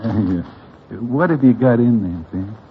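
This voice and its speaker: A man playing a wary, loyal Broadway sidekick, speaking formally and deadpan in a vintage radio drama. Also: fairly low pitch, unhurried, a little hiss or hum, natural voice. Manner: formal wording without contractions, deadpan storytelling. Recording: muffled vintage radio recording with music.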